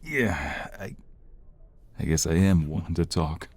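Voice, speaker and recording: A man playing an injured young mobster, a devoted and protective lover, in an intimate, halting audio roleplay with a gravelly, husky voice. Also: deep voice, expressive, exaggerated voice. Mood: tender, soothing.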